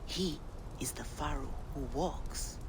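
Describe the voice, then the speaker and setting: A man's voice: a little high, slow pace, noisy background. A man voicing a curious, bookish scholar in a game cutscene, with a musing delivery. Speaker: game character. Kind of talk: video game cutscene acting.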